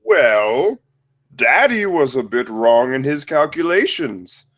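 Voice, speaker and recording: A man acting a casual, sheepish father in his fifties at an animated comedy table read. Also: medium pitch, takes it slowly. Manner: casual, hesitant, brushing off bad news.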